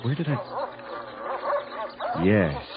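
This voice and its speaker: An actor playing a desperate, panicking scientist in a radio horror drama, speaking in anguished, halting fragments. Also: male voice, fairly low pitch, big pitch swings, crowd noise, exaggerated voice. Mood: alarmed. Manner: anguished, halting, gasping self-talk in fragments. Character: desperate, panicking male scientist, cornered and frantic. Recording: dull old radio recording, loud noise.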